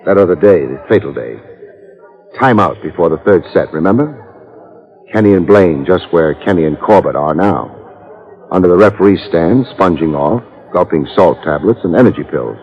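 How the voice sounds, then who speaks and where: Low-voiced, hardboiled radio detective. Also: male voice, lively intonation, normal speed. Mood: dry, probing. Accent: American. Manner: clipped, sardonic, hardboiled. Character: wry, tough male private detective. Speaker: radio drama actor. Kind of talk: 1950s radio detective acting. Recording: muffled old radio recording.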